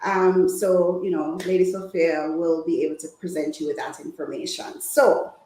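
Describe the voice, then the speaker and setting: A woman's voice: fairly low pitch, flat delivery, normal speed, mellow. A woman from Jamaica giving an informal, preacher-like health talk, engaging her listeners with questions. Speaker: guest women's health speaker. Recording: echoey room sound.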